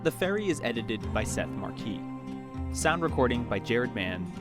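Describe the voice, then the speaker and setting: Male announcer, mid-pitched voice, scripted podcast credits read, loud plucked guitar music behind. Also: very animated, moderate pace.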